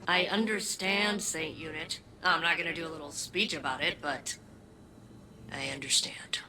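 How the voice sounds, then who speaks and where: A woman voicing a lonely but hopeful young character in a video game, halting and understated in her delivery. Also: low-pitched, steady tone, lightly acted. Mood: quietly sincere.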